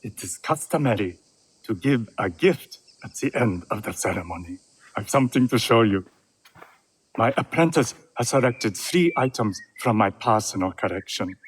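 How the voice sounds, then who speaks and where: An actor playing a serene older Japanese tea master in a game cutscene, with gentle, deliberate, measured phrasing. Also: male voice, mid-range voice, husky. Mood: gracious, generous. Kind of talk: video game cutscene acting.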